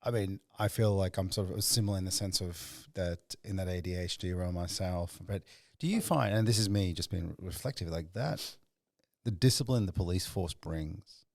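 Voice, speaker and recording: Deep-voiced podcast host, Sri Lanka-born. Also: male voice, moderate pace, vocal fry, no room sound, clean studio sound. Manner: musing, long winding questions. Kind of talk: conversational interview questions.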